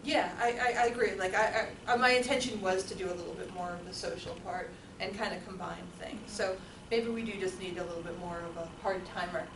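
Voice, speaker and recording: A woman leading a casual group discussion, chatty and quick to laugh, recorded from across a meeting room. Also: mid-range voice, fairly even intonation. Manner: chatty, self-deprecating, laughs easily. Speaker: group organizer. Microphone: room mic from a distance. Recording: echoey room, distant, noisy.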